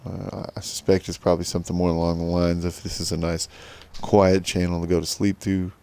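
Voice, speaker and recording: A middle-aged man with a rough smoker's voice, giving easygoing, rambling commentary to viewers while playing a farming game. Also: sits low, little pitch movement, fairly quick, darkish tone. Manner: rambling, easygoing, self-deprecating. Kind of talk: casual gameplay commentary to viewers.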